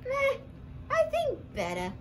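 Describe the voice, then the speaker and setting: A woman's voice: very high-pitched, huge pitch swings, takes it slowly, full character voice. A young woman voicing a snooty, dismissive female puppet in a scripted puppet show. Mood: haughty. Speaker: student puppeteer. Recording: faint background noise.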